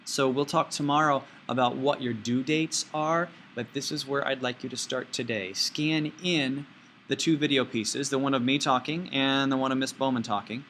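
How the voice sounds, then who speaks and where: A man teaching an informal step-by-step software tutorial, playfully talking himself through each action out loud. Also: mid-range voice, steady tone, normal speed, a little hiss or hum.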